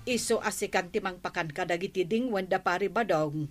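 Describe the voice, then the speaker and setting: A woman's voice: fairly low pitch, brisk, dry recording, soft background music, natural voice. A woman playing a caring village housewife who frets and nags, in an Ilocano radio comedy drama, with an Ilocano accent. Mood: concerned. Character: caring village housewife fretting over animals.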